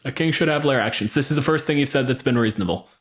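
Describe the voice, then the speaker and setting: A man talks as a game master on a casual podcast, in a middle-pitched voice. He lays out his opinions and rules with emphasis and drops swear words casually as he goes. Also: racing, fairly bright tone. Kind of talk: casual opinionated tabletop gaming chat.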